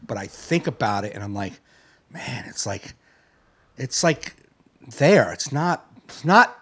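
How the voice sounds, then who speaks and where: A man's voice: medium pitch, animated, measured pace, fairly bright tone, breathy edge. A man in his late forties, a podcast host, talking in a rambling, profane, reflective way. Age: 40s. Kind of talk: casual chat.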